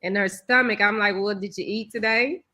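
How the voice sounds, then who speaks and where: Lady cohost, bright clear voice, tells stories and does the voices. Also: mid-range voice, brisk. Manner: animated storyteller, acts out dialogue. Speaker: show co-host. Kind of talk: casual storytelling chat.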